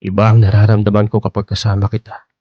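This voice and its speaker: A man's voice: on the low side, monotone, fast talker, no room sound, dull audio, natural voice. An actor playing an older, playful Filipino womanizer who is earnestly courting, in a hesitant, coaxing radio drama style. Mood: earnest, pleading. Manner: hesitant, coaxing, peppered with interjections.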